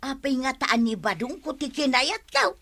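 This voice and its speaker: A woman's voice: sits high, very animated, moderate pace, fairly bright tone, heavily acted. A woman with an Ilocano accent playing a nagging, complaining wife in a radio comedy drama.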